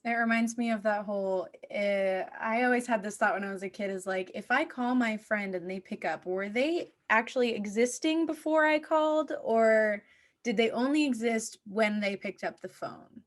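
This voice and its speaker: A woman's voice: fairly high pitch, moderate pace. A woman hosting a podcast, chatting casually and reflectively. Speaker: podcast host.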